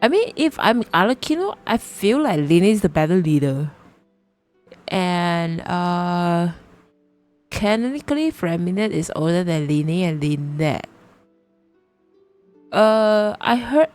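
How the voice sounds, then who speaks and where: A woman's voice: on the low side, animated, unhurried, a little mellow, faint music behind. A woman livestreamer giving casual commentary on a game, often repeating and rephrasing her thoughts.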